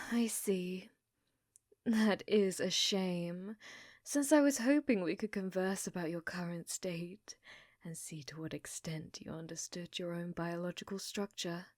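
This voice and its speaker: A woman playing an obsessive, flirtatious scientist in an intimate ASMR roleplay, with soft breathy speech and precise clinical phrasing. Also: on the low side, a little slow, dry recording, studio quality, lightly acted. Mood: intrigued, musing. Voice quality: soft, breathy, often whispered.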